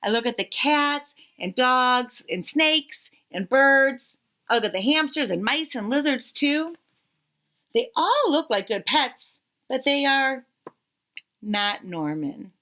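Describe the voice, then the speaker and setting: A woman narrating a children's story aloud in an expressive, emphatic way with dramatic pauses. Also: high voice.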